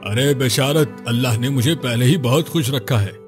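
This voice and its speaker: A man's voice: mid-range voice, normal speed, faint music behind, close to an everyday voice. A man playing a greedy, arrogant village hotel owner, cold and dismissive, in a cartoon story. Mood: cold, scornful. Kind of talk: cartoon moral story dialogue.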